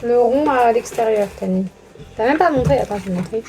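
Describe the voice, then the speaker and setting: High-voiced, casual young-sounding woman vlogger. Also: expressive, fairly quick, a little mellow, low-quality recording. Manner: informal, slangy, swearing. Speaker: motorcycle vlogger testing gear. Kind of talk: casual chat while demonstrating gear.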